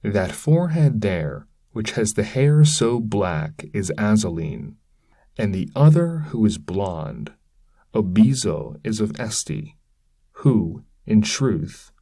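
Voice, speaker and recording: A man's voice: lowish voice, very animated, slow, warm tone, no room sound, clean studio sound, full character voice. A man voicing a great centaur escort in a poetry reading, stern and declamatory as a guide. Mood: grave.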